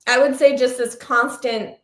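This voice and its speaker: A woman's voice: high-pitched, fairly even intonation, unhurried. American woman, reflective, in an echoey room. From Sri Lanka, United States (Massachusetts) and France. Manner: reflective, self-correcting, stop-and-start. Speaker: interview guest, producer and journalist. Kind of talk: casual personal interview. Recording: roomy echo.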